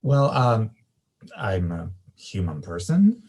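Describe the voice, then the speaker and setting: Male actor as shy young student, low husky voice, understated and hesitant, naturalistic read. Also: sing-song, slow pace, darkish tone, exaggerated voice. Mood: hesitant, friendly. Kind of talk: screenplay table read, naturalistic dialogue.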